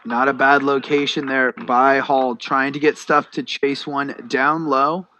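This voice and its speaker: A man's voice: mid-range voice, level tone, unhurried, bright, forceful tone, no echo. An American man giving conversational color commentary and analysis in a baseball broadcast.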